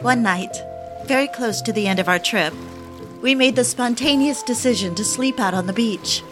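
A woman's voice: on the high side, moderate pace, natural voice. Actress as a yearning woman in love, music behind. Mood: wistful, reflective. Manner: intimate, reflective. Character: romantic, yearning woman in love, recounting her affair. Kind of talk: audio drama, confessional first-person narration.

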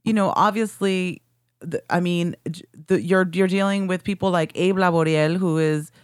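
Woman interviewer, halting questions, dry close sound. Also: lowish voice, steady tone, clean tone, dry recording. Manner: halting, many restarts.

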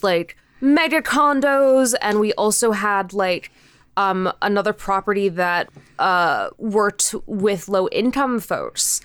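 A woman's voice: mid-range voice, fairly bright tone, smooth, no room sound. A woman chatting casually as an interview guest, telling stories in a rambling, emphatic, informal way. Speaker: interview guest, creator of a podcast show. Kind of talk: casual chat, storytelling.

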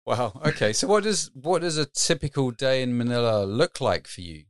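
A man's voice: medium pitch, lively intonation, normal speed, no room sound, studio quality. A man hosting a podcast interview, asking eager, upbeat questions. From United Kingdom. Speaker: podcast host.

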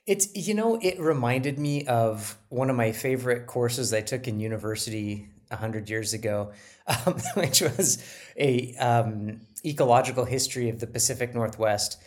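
A man's voice: mid-range voice, normal speed, a little mellow. A middle-aged man co-hosting a podcast in casual chat, self-deprecating and hesitant, often restarting his sentences. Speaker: podcast co-host.

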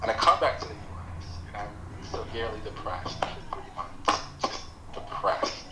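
A man from Nigeria whose first language is Yoruba, telling his life story slowly and reflectively as a podcast guest. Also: lowish voice, reverberant, heavy background noise. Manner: slow, reflective, hesitant with pauses. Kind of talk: personal storytelling.

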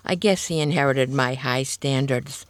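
A woman's voice: very deep voice, measured pace, no echo. A woman voicing a little old mother, a proud, guilt-tripping martyr with an apologetic, plaintive manner in a comic story. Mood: prim, proud.

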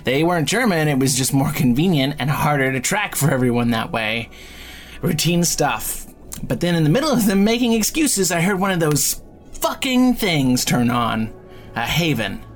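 A flippant, injured crook in an audio drama. The lowish voice swings widely, rambling and joking in slang through shaky pain, with faint music underneath. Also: female voice, sing-song, normal speed. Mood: rattled, flippant. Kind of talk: fiction podcast audio drama acting.

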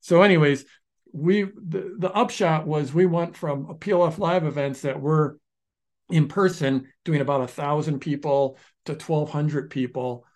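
A man co-hosting a business podcast, telling stories in a lively, restless way and restarting his sentences often. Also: on the high side, a little slow. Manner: animated storyteller, restarts sentences, shifts pace often. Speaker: podcast co-host, online business founder. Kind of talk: casual podcast conversation.

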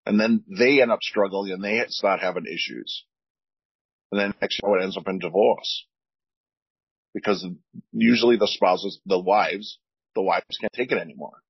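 A man with a deep voice, a veteran speaking earnestly on a podcast, often repeating words as he searches for them. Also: moderate pace, long pauses, breathy edge, dry recording, dull audio. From United States. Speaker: veteran guest. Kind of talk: candid podcast talk.

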